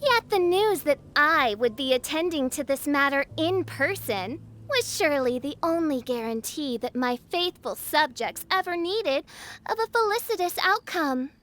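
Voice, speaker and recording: A woman's voice: sits high, expressive, normal speed, fairly bright tone, a little hiss or hum. A woman voicing a haughty teenage self-styled princess in a video game, theatrical and pompous, with archaic, flowery speech. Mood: grandiose.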